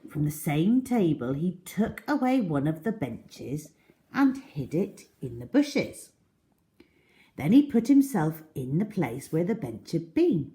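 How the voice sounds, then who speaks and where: Slow-paced woman reading to children. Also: lowish voice, dark tone. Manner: slow, deliberate, dramatic emphasis for children. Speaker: children's storyteller. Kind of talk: expressive children's story reading and chat.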